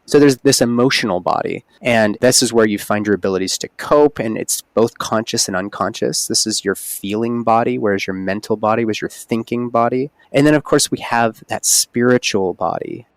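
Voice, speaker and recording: A man's voice: fairly low pitch, moderate pace, few pauses, dry recording. A man speaking as a holistic OB-GYN guest on a podcast, explaining earnestly in casual conversation. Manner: earnest, explanatory, animated emphasis.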